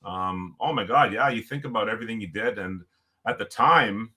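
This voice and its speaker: A man from Ontario with a loud voice, telling stories as a podcast guest in an animated, emphatic way. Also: on the low side, normal speed. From Canada, Ontario. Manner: animated storyteller, emphatic, frequent restarts. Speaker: podcast guest, former hockey player. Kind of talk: casual storytelling interview.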